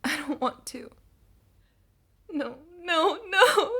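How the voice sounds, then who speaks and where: Woman acting a very high, tearful frightened patient. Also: expressive, drawn-out words, gravelly, sharp tone, exaggerated voice. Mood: terrified, tearful. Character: frightened, fragile female hospital patient, pleading. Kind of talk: horror manga dub acting.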